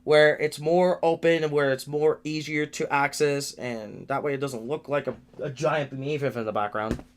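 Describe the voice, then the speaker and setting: A man's voice: on the high side, fairly even intonation, normal speed. A nineteen-year-old man giving a rambling livestream update from a room in his family home, venting with frequent restarts and repeated words. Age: late teens. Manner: frustrated, venting.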